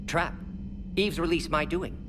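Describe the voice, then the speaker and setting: A man's voice: on the high side, unhurried, noisy background, close to an everyday voice. A man playing a brainy alien doctor in a video game, clinical and protective, speaking in clipped telegraphic phrases. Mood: defensive, insistent.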